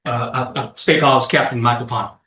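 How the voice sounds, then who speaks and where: A man speaking as a prosecutor in a courtroom, questioning and arguing in a halting way with repeated words. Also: medium pitch, fairly even intonation, bright, forceful tone.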